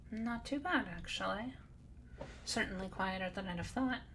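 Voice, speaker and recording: A woman playing a timid, sweet, calm young cat girl in a roleplay audio drama, soft-spoken, hesitant and gently dry. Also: mid-range voice, normal speed, background noise, close to an everyday voice.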